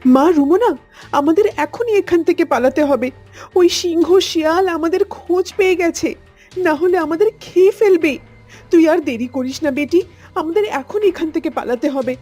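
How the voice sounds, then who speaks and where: Woman as a high, mellow motherly cartoon cow. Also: animated, normal speed, faint music behind. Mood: panicked. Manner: urgent, maternal. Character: protective motherly cow aunt, devoted and anxious. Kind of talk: children's cartoon voice acting.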